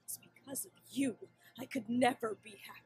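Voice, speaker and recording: A woman's voice: on the high side, big pitch swings, a little fast, gravelly, warm tone, breathy edge, heavily acted. A woman voicing a jealous, vengeful villainous pony mare in a cartoon, theatrical and taunting. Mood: bitter, vengeful. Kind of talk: fan animation voice acting.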